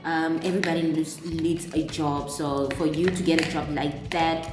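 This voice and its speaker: A young woman with a South African accent talks through her makeup routine in a chatty storytime. She sits a bit low in pitch and jumps up when acting out conversations. Her voice rings off the walls of the room. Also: moderate pace. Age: young adult. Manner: animated, replays dialogue in a raised voice. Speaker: beauty vlogger. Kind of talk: chatty get-ready-with-me storytime. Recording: echoey room with music behind.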